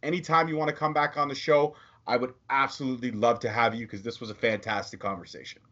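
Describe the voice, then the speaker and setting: A man hosts a podcast interview, upbeat and playful as he steers the chat. His voice has an ordinary middle pitch. The recording sounds dull and lo-fi. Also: fairly even intonation, fast talker, a bit bright. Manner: upbeat, joking, self-promoting. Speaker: podcast host. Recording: dull lo-fi audio.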